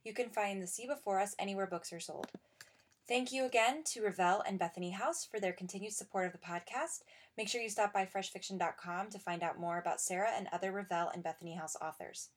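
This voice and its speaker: A woman's voice: mid-range voice, fast talker. A woman podcast host delivering a scripted sign-off to listeners.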